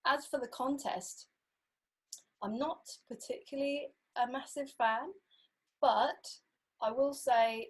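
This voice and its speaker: A woman's voice: on the high side, poor audio. A woman drummer chatting casually on a podcast, thinking aloud with many fillers.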